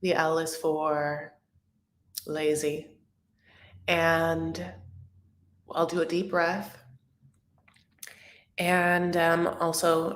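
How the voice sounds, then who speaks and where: A woman hosting a casual live stream about reality TV, chatting in a rambling way with many fillers and restarts. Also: low voice. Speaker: reality TV recap livestream host. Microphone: webcam or phone on a live stream. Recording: echoey room.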